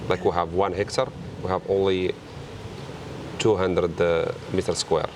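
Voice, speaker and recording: A man with a Moroccan accent speaks in a very deep voice, working through English in halting pieces and hunting for the right word. Faint outdoor noise hums behind him. He keeps to a casual, conversational tone. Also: level tone. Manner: halting, searching for English words. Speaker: hotel co-owner. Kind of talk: casual interview chat.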